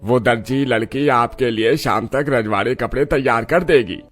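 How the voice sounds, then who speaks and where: A man's voice: medium pitch, normal speed, natural voice. Man playing a kindly village headman, music behind. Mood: reassuring, deferential. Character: kindly, obliging middle-aged village headman.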